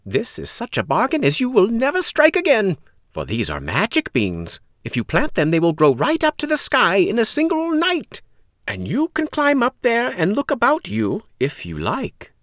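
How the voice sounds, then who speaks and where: A man's voice: sits high, wildly swooping pitch, normal speed, fairly bright tone, no room sound, clean studio sound, pitched above the performer's own voice, heavily acted. A man voicing a little old bearded storybook stranger, coaxing and persuasive.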